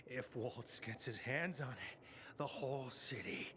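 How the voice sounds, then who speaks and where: An actor playing a wounded ally who gives urgent, strained commands. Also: male voice, medium pitch, lively intonation, a little slow, noisy background, close to an everyday voice. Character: wounded urgent male ally, middle-aged. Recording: game cutscene with music behind.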